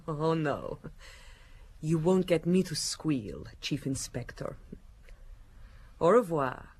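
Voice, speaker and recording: A coolly contemptuous gangster's moll in a radio mystery. Her voice is creaky and she drags her words out slowly, languid and scornful. She sounds defiant and sardonic. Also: low-pitched, expressive, a little mellow, heavily acted. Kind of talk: radio detective drama acting.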